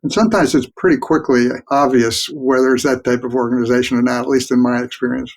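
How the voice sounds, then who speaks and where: A mature man giving reflective, explanatory answers as an expert guest in an interview, speaking in a measured, plain-spoken way. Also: medium pitch, fairly even intonation. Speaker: guest expert, physician researcher.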